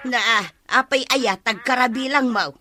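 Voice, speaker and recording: An actress playing an excitable, nagging village woman in a Filipino radio comedy drama, with an Ilocano accent. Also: sits high, normal speed. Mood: nagging, teasing. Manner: animated, exclaiming, nagging.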